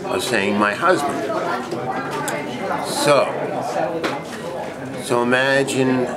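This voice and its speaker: A man being interviewed, telling a personal story in a halting, rambling way and often repeating himself. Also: mid-range voice. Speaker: interview guest. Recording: loud crowd din in a public space.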